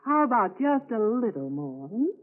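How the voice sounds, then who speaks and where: Affectionate young-sounding wife, high pitch, very animated, trusting, 1940s radio drama, thin phone-like sound. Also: female voice, normal speed. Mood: coaxing, fond. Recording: tinny narrowband 1940s radio recording.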